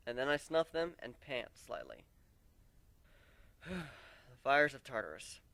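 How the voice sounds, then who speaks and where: A man reads a fantasy story aloud as its narrator, with a very animated pitch. His delivery is conversational and dramatic, recorded close and dry. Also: slow pace, vocal fry, no echo. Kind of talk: dramatic first-person story reading.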